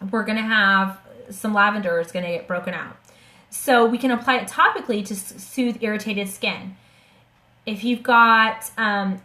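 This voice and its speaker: A woman's voice: fairly high pitch, fairly bright tone. Chatty, enthusiastic woman in an echoey room. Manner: enthusiastic, chatty, many restarts and fillers. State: hoarse. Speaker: essential oils seller hosting a webinar. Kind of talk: casual live-stream sales talk.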